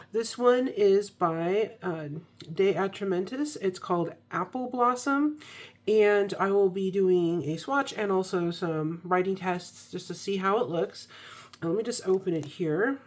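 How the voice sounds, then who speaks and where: A young woman recovering from a cold, chatting casually with ums and restarts as she reviews an ink. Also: mid-range voice. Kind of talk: casual product review vlog.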